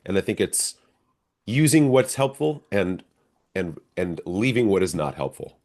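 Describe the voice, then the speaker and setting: Low-voiced American man telling stories. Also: very animated, measured pace, darkish tone. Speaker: stage actor being interviewed. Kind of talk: casual interview storytelling.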